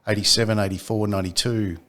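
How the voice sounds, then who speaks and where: A deep-voiced man speaks as a CEO guest on a podcast. He talks in a measured, unhurried way, with plenty of ums and repeated words as he works through his points. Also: flat delivery, a bit bright. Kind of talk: casual business chat.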